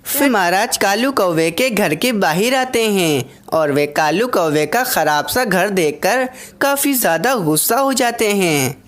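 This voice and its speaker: A woman with a mid-range voice narrates a children's animated tale. Her delivery is scripted storytelling, as the narrator of a simple story. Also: mid-range voice, unhurried.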